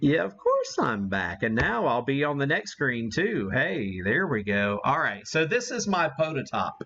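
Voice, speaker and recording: A man's voice: mid-range voice, very animated, a little slow, bright, forceful tone, lo-fi sound, a little hiss or hum. A man hosting a ham radio livestream, chatting casually in a rambling, self-deprecating way as he demonstrates. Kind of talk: casual live-stream chat and demonstration.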